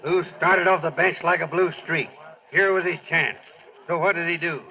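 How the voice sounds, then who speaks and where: Folksy radio narrator, slightly distant. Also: male voice, a little high, fairly even intonation, normal speed, gravelly. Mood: wry, storytelling. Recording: dull, distant vintage radio recording.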